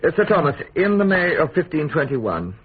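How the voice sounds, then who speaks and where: An actor playing a silky Tudor royal secretary in a radio drama, British, falsely cordial, probing and precise. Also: male voice, on the high side, big pitch swings, normal speed, slightly rough. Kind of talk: period radio drama acting. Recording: muffled phone-like radio drama.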